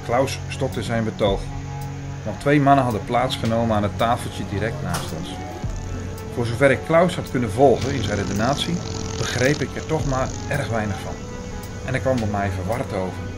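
Male narrator, played by an actor, audio drama, measured, bookish, sceptical storyteller, clear music bed. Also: medium pitch, close to an everyday voice. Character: Hugo, attentive, sceptical male listener and storyteller. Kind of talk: scripted first-person narration and reading aloud. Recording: music under the voice.

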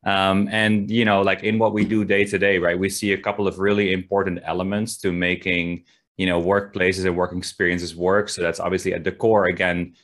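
A man in his forties joins an online Q&A from his home office. His voice is very deep. He sounds upbeat and eager as he gets into his question. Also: level tone, a little fast, a bit bright. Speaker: audience member asking a question. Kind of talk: online panel Q&A. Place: home office on video call.

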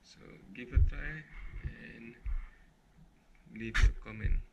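A man teaching a fishing knot while working with his hands, talking slowly and haltingly with many "okay" and "uh" fillers. His first language is Malay. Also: medium pitch, gravelly, dull audio, very noisy. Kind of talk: hands-on tutorial narration. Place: indoors at a table, close over a grey felt mat. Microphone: action camera pointed down at his hands.

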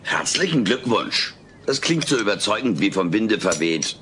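An actor playing a wisecracking, self-centred furry alien in a sitcom audio play, sardonic and cheeky. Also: male voice, on the low side, sing-song, moderate pace. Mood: sarcastic. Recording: noisy old cassette audio.

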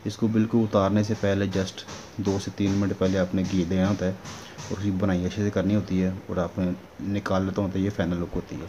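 A man's voice: low voice, little pitch movement, a little fast. A man giving a casual, instructional recipe voice-over as a home cooking host, often checking in with the listener. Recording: dull lo-fi sound with clear noise.

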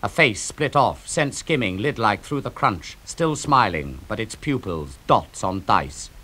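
A man giving a dramatic audiobook reading of epic poetry, theatrical, moving between hushed lines and ringing ones. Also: on the low side, big pitch swings, a little slow, slightly rough. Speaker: audiobook reader.

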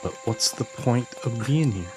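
A man from the USA giving relaxed, chatty commentary while streaming a game and talking to his chat from home. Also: medium pitch, measured pace, over music. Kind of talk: casual gameplay commentary.

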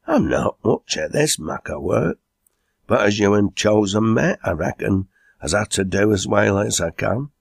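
A man's voice: lowish voice, lively intonation, measured pace, creaky, slightly rough, no echo, studio quality, voice pushed up, exaggerated voice. A man voicing a reluctant, easygoing old fellow with a Lancashire accent, rambling through homely speeches in a storybook. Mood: modest, reluctant.

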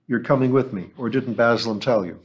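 A man doing a gruff, blunt ship captain. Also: mid-range voice, brisk, highs cut off, close to an everyday voice. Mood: brusque. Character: gruff middle-aged male ship captain.

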